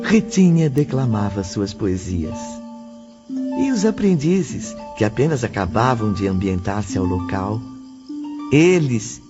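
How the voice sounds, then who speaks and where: A man's voice: fairly low pitch, very animated, takes it slowly. A man narrating an audio novel from a script in a solemn, poetic manner. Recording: dull muffled audio under music.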